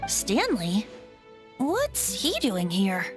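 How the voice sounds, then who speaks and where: A woman's voice: mid-range voice, wildly swooping pitch, extremely slow, music in the background, full character voice. A woman playing a boyish, playful young male bard in a video game, theatrical and sly. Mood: playful, evasive. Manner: theatrical, poetic, teasing. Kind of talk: English video game voice acting.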